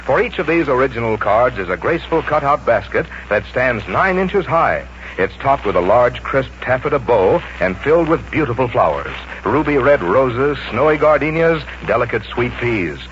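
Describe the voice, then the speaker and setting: A man's voice: medium pitch, very animated, normal speed, fairly bright tone, a little hiss or hum. A man reading a polished scripted sponsor advertisement as a 1940s radio announcer. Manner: polished sales delivery. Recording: dull old radio recording, music behind.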